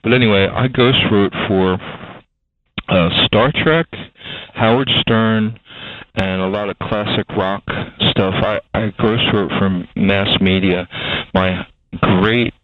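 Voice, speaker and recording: A man's voice: lowish voice, steady tone, slow. A man in his sixties from Delaware with a Delaware-Philadelphia accent, giving a rambling interview full of fillers and restarts. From Delaware, USA. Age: 60s. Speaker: guest. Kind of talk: casual interview talk. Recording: tinny phone line.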